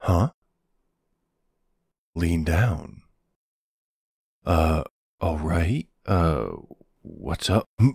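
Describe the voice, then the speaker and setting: A man playing a gruff but tender, protective older man in an intimate ASMR boyfriend roleplay, speaking hesitantly with casual endearments. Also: low-pitched, expressive, extremely slow, pauses often, a little mellow, no room sound, studio quality, heavily acted. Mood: nervous, tender. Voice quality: gravelly, husky. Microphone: close, dry microphone.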